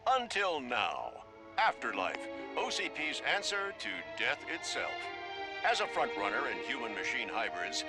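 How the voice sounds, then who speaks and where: A man's voice: mid-range voice, close to an everyday voice. A man playing a dramatic corporate ad narrator. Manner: dramatic, declaiming advertising narration. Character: grand male corporate ad narrator, solemn and persuasive.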